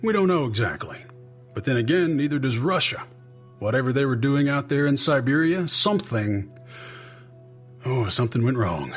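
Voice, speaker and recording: A gruff, skeptical male spy chief in an audio drama. His pitch sits mid-range but moves in animated swings. He speaks in clipped, sardonic phrases with weary sighs, over faint music. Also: moderate pace. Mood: grave. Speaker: senior agency official.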